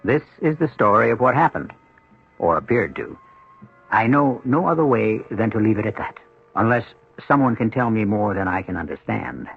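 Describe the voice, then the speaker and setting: A man hosting a radio drama, narrating in a solemn, deliberate and ominous manner. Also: lowish voice, animated, vocal fry, slightly rough, soft background music. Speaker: host and narrator. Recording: dull 1970s radio recording.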